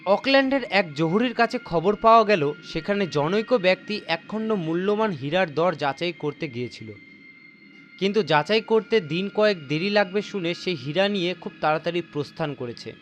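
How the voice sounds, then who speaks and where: A man's voice: high voice, animated, normal speed, a little hiss or hum. A man narrating a mystery story in a theatrical, suspenseful, scripted style. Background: faint music.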